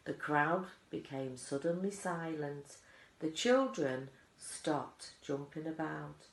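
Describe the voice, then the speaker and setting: Woman, storybook narration, low pitch, unhurried, roomy echo. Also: animated, creaky. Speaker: audiobook reader, teaching assistant.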